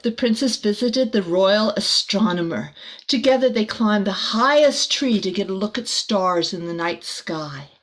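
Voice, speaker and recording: Slow-paced woman reading a children's storybook. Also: medium pitch. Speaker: volunteer teacher reading a picture book to children. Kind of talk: children's storybook read-aloud with chatty asides.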